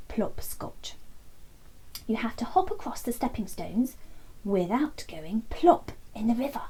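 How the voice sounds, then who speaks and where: A woman storyteller with a medium-pitched voice that is a little mellow and breathy. She reads a children's chapter book expressively, leaving dramatic pauses and keeping an animated delivery. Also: poor audio. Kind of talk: expressive bedtime story narration.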